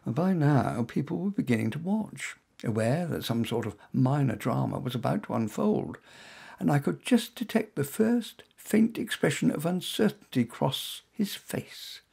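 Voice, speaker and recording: A man reading his own short story aloud as first-person narration in a wry, expressive manner. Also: fairly high pitch, normal speed, gravelly, slightly rough.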